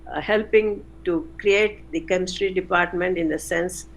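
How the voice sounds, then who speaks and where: An older woman, a retired chemistry professor recalling her career in an interview, unhurried with frequent pauses. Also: on the low side, poor audio. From India. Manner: unhurried, many "uh" pauses, self-corrects. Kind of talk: reflective interview answers.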